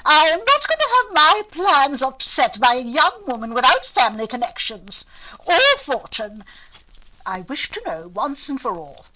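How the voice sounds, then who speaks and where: A haughty older noblewoman of a vintage radio romance, commanding and easily affronted. Her voice is fairly high and forceful, with dramatic swings in pitch. She speaks formally and pompously, as if used to being obeyed. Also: sing-song, moderate pace, heavily acted, rough recording. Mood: indignant, imperious. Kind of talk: recreated 1940s radio drama acting.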